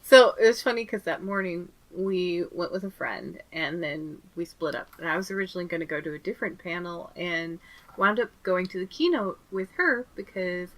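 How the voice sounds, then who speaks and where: A woman's voice: on the low side, moderate pace, rough recording. A woman co-hosting a podcast, chatting casually, laughing often and acting out little quotes as she recaps. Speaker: podcast co-host.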